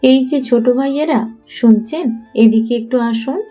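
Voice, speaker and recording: A woman's voice: on the high side, unhurried, mellow, faint music behind. A woman playing a young, composed and firm older sister in an audio love story. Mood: calling out. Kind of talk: Bengali audio story acting.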